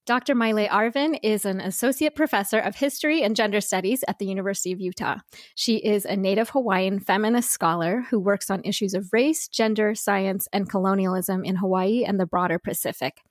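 Woman podcast host, mid-pitched, eager and friendly, dry recording. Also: a little fast, dry recording. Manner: warm, enthusiastic, reads bio aloud. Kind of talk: interview hosting.

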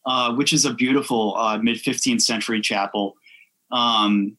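A man chatting casually as a podcast guest, telling things informally in a halting way with frequent self-interruption. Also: medium pitch, steady tone, sharp tone, low-quality recording. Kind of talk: casual chat, informal storytelling.

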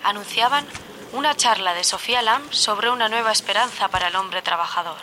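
A woman playing a troubled, observant diarist in an audio drama monologue, measured and confessional. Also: medium pitch, bright, forceful tone, a little hiss or hum, natural voice. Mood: worried, reflective.

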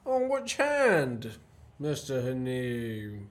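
A man acting in a student radio play as a courteous, menacing gentleman professor who is secretly a spy chief. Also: medium pitch, huge pitch swings, drawn-out words, exaggerated voice. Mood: coolly probing. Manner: courteous, genteel, theatrically menacing.

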